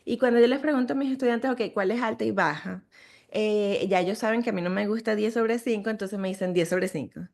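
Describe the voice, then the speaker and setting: A woman's voice: mid-range voice, fairly quick, gravelly, a little mellow. A middle-aged woman with a Venezuelan Spanish accent, a sleep medicine physician giving a conversational webinar lecture full of fillers and restarts.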